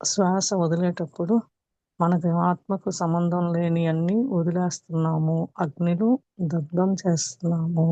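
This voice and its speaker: A woman with a Telugu accent leading a guided meditation as a teacher, calm and unhurried, with long pauses. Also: fairly low pitch. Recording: muffled, echoey room recording.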